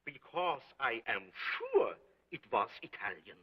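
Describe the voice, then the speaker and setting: A slow, stubborn restaurant owner. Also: male voice, medium pitch, huge pitch swings, pushed and bright, breathy edge, exaggerated voice, low-quality recording. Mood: insistent. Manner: slow, insistent telling.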